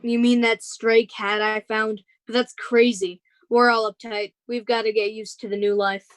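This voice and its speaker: A woman's voice: a little high, level tone, normal speed, dry recording, natural voice. A woman playing a strained, self-absorbed father in a live radio drama, earnest and defensive. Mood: skeptical. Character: strained, self-absorbed father and novelist, dismissive then apologetic.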